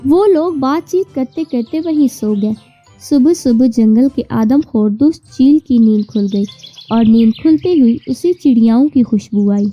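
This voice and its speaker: A woman's voice: on the high side, a little slow, muffled recording. A woman narrating a scripted children's story, with music and birdsong behind her.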